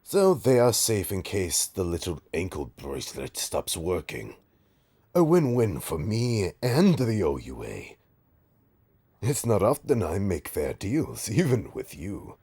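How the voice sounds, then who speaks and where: A man playing a bitter, taunting supervillain in an audio roleplay, with a raspy, gravelly voice and a sardonic, theatrical, halting delivery. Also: deep voice, huge pitch swings, darkish tone, heavily acted. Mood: smug, taunting, then smug, measured. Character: menacing, taunting male supervillain, bitter, grudgingly rehabilitating. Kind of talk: scripted audio roleplay drama.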